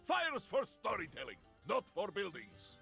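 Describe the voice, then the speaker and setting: Casting: jovial gentle giant warrior, Slavic-style accent, creaky voice, very animated delivery, video game. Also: male voice, high-pitched, measured pace, faint music behind, full character voice. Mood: kindly, reassuring. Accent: Slavic-style foreign. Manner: jovial, gentle, broken-English phrasing. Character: big hearty, kindly strongman warrior hero.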